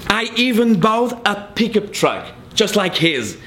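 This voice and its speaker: A man's voice: high voice, big pitch swings, unhurried, sharp tone. A man from Belgium, a native French speaker with a Belgian French accent, telling a self-mocking story to an audience. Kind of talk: storytelling performance. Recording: noisy live recording.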